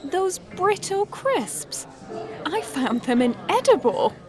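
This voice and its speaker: A woman playing a reluctant young lady, deadpan and politely sarcastic, in a farcical audio drama. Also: high-pitched, takes it slowly, over music, heavily acted. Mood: dry politeness. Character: reluctant, drily sardonic young lady avoiding suitor.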